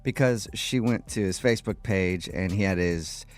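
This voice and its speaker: A man's voice: sits low, moderate pace. A man hosting a radio show, telling stories and bantering in a joking, teasing way. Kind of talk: morning radio banter and storytelling.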